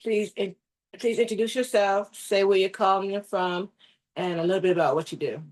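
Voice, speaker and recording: A woman hosting a networking video call, asking brisk questions and giving quiet affirmations. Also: medium pitch, steady tone. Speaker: networking event host.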